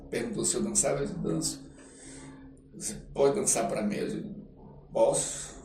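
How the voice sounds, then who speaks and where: A Brazilian man from the Amazon telling anecdotes casually as an interview guest, acting out dialogue and often tagging phrases with "né". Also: medium pitch. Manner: animated, acts out dialogue, halting with "né" tags. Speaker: guest artist, actor telling life story. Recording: roomy echo, distant mic, faint noise.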